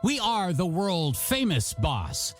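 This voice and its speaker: High male voice, American radio DJ, lively pitch swings, jokey showman, self-mocking oldies patter, music behind. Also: slow. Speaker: radio DJ and host. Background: pop and rock music.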